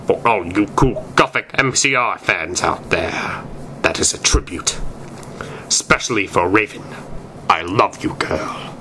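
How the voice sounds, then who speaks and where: A man's voice: low-pitched, expressive, a little slow, sharp tone. A man reading fan fiction aloud in a theatrical amateur style, stumbling over words now and then. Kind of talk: dramatic amateur story reading. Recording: noisy amateur recording.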